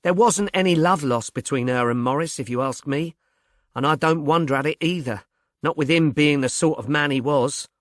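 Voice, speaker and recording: A man's voice: on the high side, normal speed, no room sound, studio quality, lightly acted. Gossipy young maid, played by a man. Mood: gossipy, scornful.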